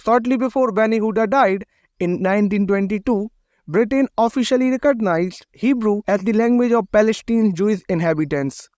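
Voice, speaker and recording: A man giving scripted documentary narration in a steady, measured read, his phrases falling at the ends. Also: high-pitched, no echo, highs cut off.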